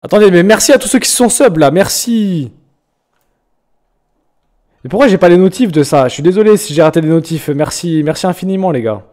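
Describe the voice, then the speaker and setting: High-voiced joking young French streamer. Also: male voice, animated, brisk. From France. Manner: joking, slangy, teasing, breaks into loud outbursts. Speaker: streamer reacting to viewers' music. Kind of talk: casual live-stream chat.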